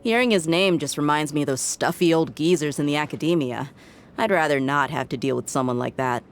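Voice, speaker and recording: A woman's voice: low-pitched, a little fast, slight background noise. An actress playing a confident, breezy young female mercenary in a video game, with a playful, teasing manner. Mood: composed, businesslike. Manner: playful, smooth teasing, self-assured.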